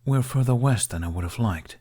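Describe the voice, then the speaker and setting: A man voicing a seasoned, dryly formal Jedi master with a measured manner in an audiobook. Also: medium pitch, expressive, warm tone, voice pushed up, close to an everyday voice. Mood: calm, assured. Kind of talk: audiobook character dialogue.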